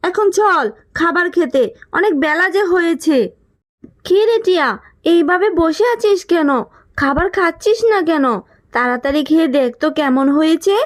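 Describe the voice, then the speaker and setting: Woman as a very high-pitched, fussing cartoon mother. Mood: coaxing. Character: careworn, scolding but caring poor animal mother. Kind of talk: Bengali children's cartoon voice acting.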